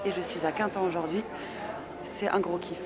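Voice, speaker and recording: A woman's voice: fairly low pitch, fairly quick. Young woman singer over a crowd. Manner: relaxed, hesitant with fillers. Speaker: singer and accordionist. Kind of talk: festival interview. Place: outdoor street festival. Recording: loud crowd behind.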